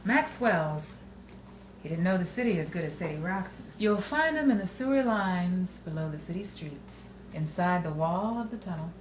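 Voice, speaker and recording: A woman playing a cryptic informant in an old-time radio noir mystery, speaking in terse, deliberate, dramatic phrases. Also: lowish voice, lively intonation, lightly acted. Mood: cryptic, insistent. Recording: echoey distant room, clear background noise.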